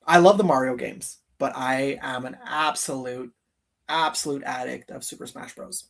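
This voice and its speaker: A man's voice: mid-range voice, normal speed, gravelly, distant mic. Enthusiastic man chatting on a gaming livestream. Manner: enthusiastic, emphatic repetition of phrases. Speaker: host of a live gaming countdown show. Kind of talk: casual livestream chat. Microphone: streaming call microphone.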